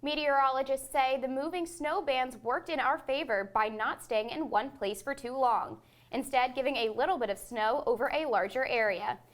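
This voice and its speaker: A young woman anchoring a student newscast, reading in a clear, measured way. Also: high voice. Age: young adult. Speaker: news anchor. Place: student TV studio.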